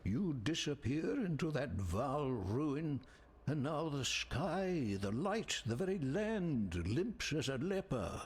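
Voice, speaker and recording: An actor playing a grave, scholarly man in a fantasy video game, speaking in measured, literary phrases with a husky voice. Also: a little high, big pitch swings, exaggerated voice. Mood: grave, accusing. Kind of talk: scripted video game dialogue.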